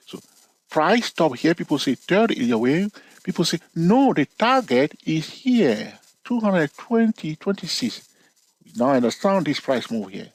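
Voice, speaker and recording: Husky man teaching, checking in often. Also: no echo, poor audio. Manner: talks to viewers directly with frequent "okay?" and "all right?" checks; playful, teasing, with sudden rises in pitch for emphasis. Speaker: trading educator. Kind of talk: casual screen-share chart lesson.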